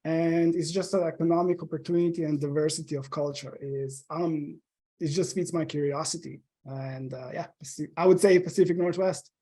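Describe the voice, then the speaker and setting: Slovenian-accented male guest, 30s, eager, a little high-pitched, lots of ums. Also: fairly even intonation, normal speed, mellow. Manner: eager, with frequent ums and uhs. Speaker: podcast guest. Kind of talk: casual interview chat.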